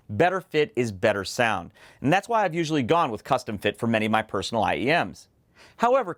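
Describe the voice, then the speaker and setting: A man's voice: medium pitch, a bit bright. Man presenting a scripted product preview. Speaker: host and headphone reviewer.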